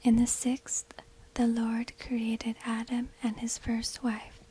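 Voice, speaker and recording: Breathy whispering woman, slow ASMR storyteller. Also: a little high, completely flat delivery. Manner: slow, hushed, deliberate phrasing. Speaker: narrator. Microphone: close binaural microphone.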